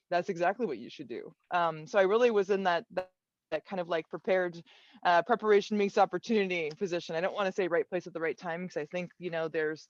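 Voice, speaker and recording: A woman colorist on an online panel, telling her career story in a relaxed, thoughtful way with many fillers and restarts. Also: fairly low pitch, no room sound, dull audio. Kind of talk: casual panel talk.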